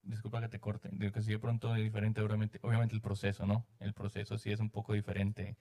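Mellow-voiced man hosting a chat show. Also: on the low side, monotone, fast talker. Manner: friendly, laughs often, short backchannels. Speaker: host. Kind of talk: casual interview chat.

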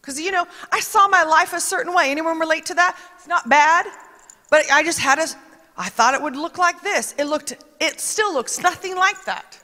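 A woman preaching with a very high, bright voice in a chatty, emphatic way, often quoting others as she goes. Her words ring out with a long reverb, as if from a large church hall. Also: moderate pace. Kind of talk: conversational sermon.

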